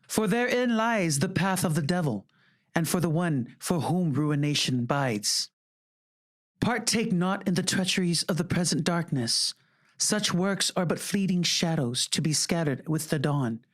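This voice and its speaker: An announcer giving a formal, measured and solemn scripted reading for an audiobook. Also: mid-range voice, expressive.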